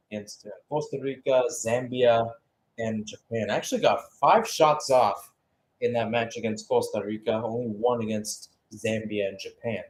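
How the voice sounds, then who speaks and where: A man doing live radio-style play-by-play football commentary, rising with excitement on chances and settling calmer between plays. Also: medium pitch.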